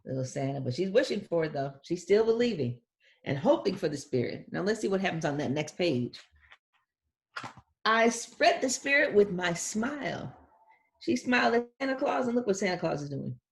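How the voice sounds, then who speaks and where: A woman reading a picture book aloud to young children in an expressive voice, pausing to chat casually about the illustrations. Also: lowish voice, vocal fry. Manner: expressive, acts out sound words. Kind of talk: read-aloud storytelling with casual commentary.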